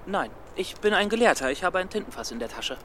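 A man playing a fussy, optimistic scholar and storyteller in a children's radio play, expressive and prone to stammer. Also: high voice, fast, slight background noise, exaggerated voice. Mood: flustered.

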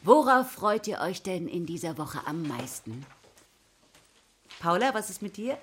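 A woman's voice: fairly low pitch, very animated, unhurried, a bit bright, a little hiss or hum. A woman playing a patient, encouraging schoolteacher addressing a class in a naturalistic radio play. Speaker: primary school teacher.

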